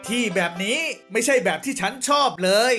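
A woman voicing a small, outspoken, fussy mouse in a children's cartoon fable, with exclamatory, expressive delivery. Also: fairly high pitch, fairly quick, soft background music, lightly acted. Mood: complaining.

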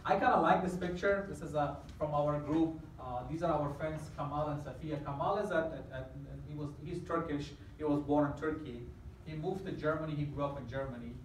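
A man's voice: a little high, steady tone, a little fast, far from the mic. Man, campus lecturer, asks the room questions, big echoey lecture hall, faint hiss. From Afghanistan. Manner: explains with questions to the audience, walking at the front. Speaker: guest lecturer. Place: large lecture hall indoors. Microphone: far camera at the back of the hall.

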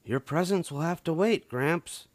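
A man voicing a gentle, concerned mother bear in a storybook, reasoning in a measured way. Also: a little high, no room sound, pitched above the performer's own voice.

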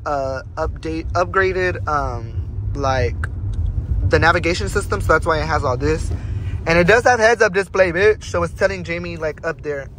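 Chatty high-voiced young man in a car. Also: moderate pace, fairly bright tone, slight background noise, low-quality recording. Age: young adult. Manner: chatty, swearing, laughing a lot. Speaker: vlog host. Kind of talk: casual chat. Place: inside a car. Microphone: phone camera on the dashboard.

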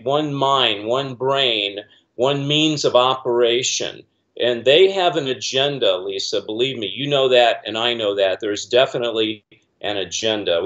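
A man's voice: mid-range voice, fairly bright tone. Middle-aged man, earnest rambling talker, slightly muffled video-call sound. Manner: earnest, rambling with many fillers and restarts. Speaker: guest commentator. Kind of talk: casual video-call discussion. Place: small room at home, indoors.

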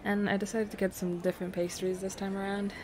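A woman's voice: medium pitch, flat delivery, fast, crowd noise, natural voice. A woman playing a playful, affectionate secret girlfriend in an intimate roleplay audio, hesitant and trailing off as she teases. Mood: tender. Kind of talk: intimate roleplay audio, casual improvised-sounding chat. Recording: noticeable cafe ambience noise behind.

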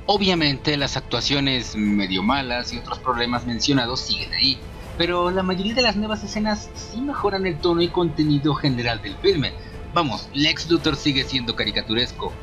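A man's voice: medium pitch, expressive, moderate pace, music in the background, poor audio. A Mexican man giving an informal scripted film review in a sarcastic, slangy, opinionated way. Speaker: video reviewer.

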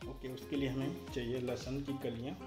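Male cooking host, 30s, plain instructive delivery, mid pitch, roomy kitchen sound with clear background music. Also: flat delivery, a little fast. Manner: instructive, explains each step plainly. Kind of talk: step-by-step recipe narration. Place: home kitchen, indoors. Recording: echoey kitchen with music underneath.

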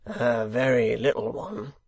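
A hoarse, growling hangman from a storybook, performed by a male narrator. He talks slowly in coarse, familiar, ungrammatical speech, sly and eager for mischief. The sound is dry and close. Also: medium pitch, animated, no room sound, full character voice. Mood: grumbling. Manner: cockney-style dropped grammar, chuckling familiarity.

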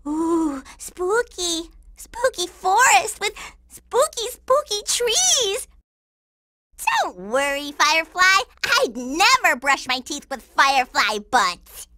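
Cartoon game role: sweet, playful little girl, very high squeaky voice, unhurried singsong delivery. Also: sing-song, bright, forceful tone, dry recording, heavily acted. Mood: excited, playful. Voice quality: squeaky, childlike. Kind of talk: cartoon video game voice acting.

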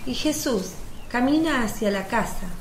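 High-voiced woman narrator, roomy. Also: expressive, unhurried. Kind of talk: scripted dramatic narration for religious radio play. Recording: roomy sound, faint background noise.

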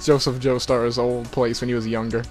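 A man's voice: medium pitch, little pitch movement, normal speed, darkish tone. A man giving joking, crude gameplay commentary as the host of a let's play. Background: video game music.

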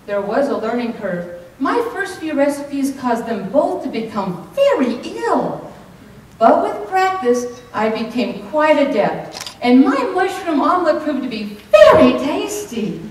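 A middle-aged woman performing a comedic tall tale on stage, with theatrical, deliberate delivery and dramatic pauses. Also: high-pitched, big pitch swings, darkish tone. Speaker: speech contest contestant. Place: hotel banquet room, indoors. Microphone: far camera microphone. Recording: echoey room from far off, some noise.

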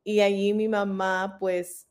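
A woman's voice: medium pitch, little pitch movement, takes it slowly, a little mellow, clear, resonant tone. A woman in her forties from Philadelphia, answering interview questions over a video call in a reflective, storytelling way. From Philadelphia, USA. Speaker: muralist guest. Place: room at home. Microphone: laptop webcam.

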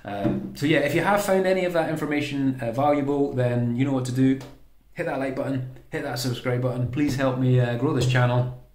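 A man's voice: medium pitch, steady tone, fairly quick, darkish tone. A British man giving a casual, unscripted tutorial indoors, informal and often correcting himself as he explains. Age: 30s to 40s. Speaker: host of a how-to sailing channel. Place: small home kitchen or room, indoors. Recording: roomy, echoey indoor sound.

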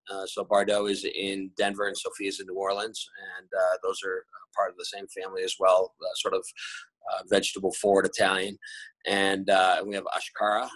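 A man's voice: low-pitched, completely flat delivery, normal speed, fairly bright tone, dry recording, low-quality recording. A man in his forties who owns a restaurant group, answering interview questions on a video call in a rambling way with many fillers and restarts. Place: bright room at home. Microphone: laptop camera on a video call.

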